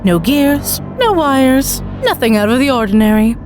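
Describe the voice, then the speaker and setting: A woman voicing a sly male stage magician in a story, with theatrical, formal, drawn-out patter and menacing politeness. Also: sits high, sing-song. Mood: smooth, menacing. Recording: music bed behind the voice.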